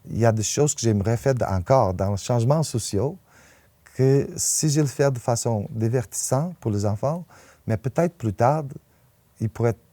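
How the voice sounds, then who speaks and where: A man's voice: medium pitch, dark tone, no echo. A man in his sixties with a Portuguese accent, a native Portuguese speaker, speaking conversationally as an interviewed writer and often repeating words as he searches for a phrase. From northern Portugal. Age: 60s. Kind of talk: conversational interview, personal anecdotes.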